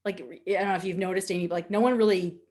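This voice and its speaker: A woman with a Nova Scotian Canadian accent teaching an informal online class in an animated, candid, jokey and chatty way. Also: fairly low pitch, quick pace. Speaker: social media instructor. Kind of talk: informal webinar teaching with casual asides.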